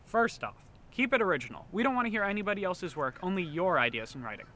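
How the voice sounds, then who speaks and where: A man's voice: sits high, big pitch swings, fast, pushed and bright, a little hiss or hum. A teenage boy reads a scripted school announcement outdoors in an eager, earnest manner. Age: teens. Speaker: student announcer. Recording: faint outdoor ambience.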